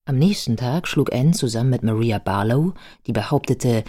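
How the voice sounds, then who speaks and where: A man playing the matter-of-fact biographer who narrates a life story in a radio drama, factual and measured. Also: medium pitch, expressive, warm tone, natural voice.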